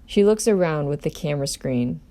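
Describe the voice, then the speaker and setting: A woman's voice: low-pitched, expressive, brisk, mellow. A woman doing an amateur table read as storyteller, narrating a script in her own voice.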